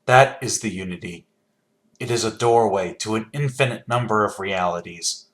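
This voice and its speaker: Man voicing a solemn, low otherworldly zealot. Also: close to an everyday voice. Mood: solemn, reverent. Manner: solemn, measured, declarative. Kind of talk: video game character dialogue.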